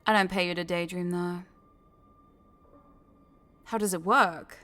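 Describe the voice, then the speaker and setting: A woman's voice: on the low side, fairly even intonation, unhurried, sharp tone, faint music behind. A woman voicing a scheming, smugly controlling corporate boss in a scripted audio roleplay, coaxing the listener in a condescending, teasing, businesslike way. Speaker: voice actor.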